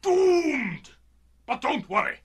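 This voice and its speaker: A man's voice: very high voice, big pitch swings, takes it slowly, heavily acted. A man playing a noble, overdramatic winged falcon warrior in a radio drama, with formal, mournful delivery. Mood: solemn, doomful.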